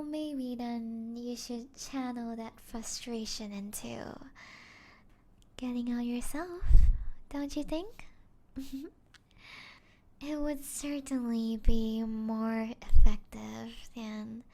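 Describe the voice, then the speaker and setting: A woman playing a taunting, playful female vampire in an ASMR roleplay, teasing the listener and often slipping into breathy whispers. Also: a little high, dark tone.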